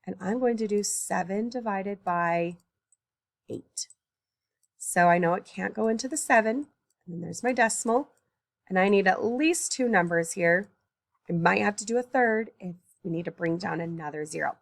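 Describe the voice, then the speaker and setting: A woman teaching a recorded math lesson, working problems aloud, thinking out loud and correcting her own slips. She is tired, early in the morning. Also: mid-range voice, moderate pace, creaky, no room sound, clean studio sound.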